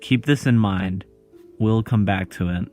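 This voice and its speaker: Low-voiced man narrating, slow pace. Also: a little mellow, faint music behind. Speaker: video host. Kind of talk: scripted video essay narration.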